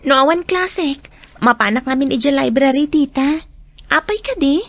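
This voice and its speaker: A woman's voice: sits high, normal speed, fairly bright tone. A woman playing a dutiful, affectionate college-age daughter in a radio drama. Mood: earnest.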